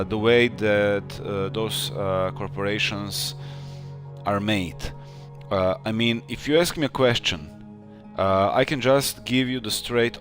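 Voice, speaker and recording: Slow-talking man game developer. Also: lowish voice, a bit bright. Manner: thoughtful, many fillers. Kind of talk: casual live stream chat.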